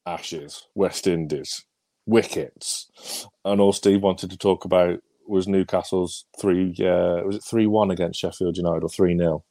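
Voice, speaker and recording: A young man with a croaky, hoarse voice from a cold, co-hosting a casual podcast with hesitant speech full of ums. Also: sits low, warm tone, no echo. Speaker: podcast co-host. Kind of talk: casual football podcast chat.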